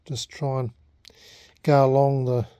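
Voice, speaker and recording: A man's voice: mid-range voice, little pitch movement, unhurried, dark tone, clear, resonant tone, dry recording, clean studio sound. A man with an Australian accent talking casually and unscripted in a hobby restoration vlog, hesitant, laid-back and self-deprecating. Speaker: vlogger.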